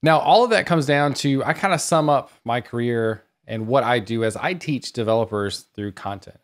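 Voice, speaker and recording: Male developer educator, medium-pitched voice, enthusiastic, conversational, explanatory conference presentation.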